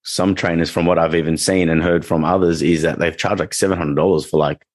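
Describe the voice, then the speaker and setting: A man's voice: deep voice, steady tone, fairly quick, no room sound, clean studio sound. A man who works as a dog trainer, co-hosting a casual podcast chat and talking in a rambling way, with many restarts and fillers.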